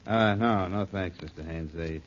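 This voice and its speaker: An actor playing a meek, apologetic, nervous errand runner in a 1940s radio drama. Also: male voice, low-pitched, measured pace. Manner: hesitant, apologetic. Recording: dull old radio recording, crowd noise.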